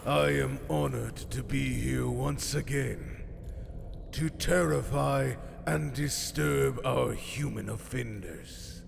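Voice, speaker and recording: A man playing a courtly fae consultant of horror with a gravelly voice, sinister yet apologetic, speaking formally in a fantasy audio drama. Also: medium pitch. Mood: courtly, ominous. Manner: formal, measured, menacing politeness. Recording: noisy, long echoing hall reverb.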